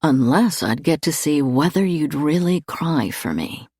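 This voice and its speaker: Woman voicing a suave, sardonic gentleman thief, low-pitched. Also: expressive, a little slow, slightly rough, no echo, studio quality, full character voice. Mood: dryly teasing. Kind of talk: audiobook character dialogue.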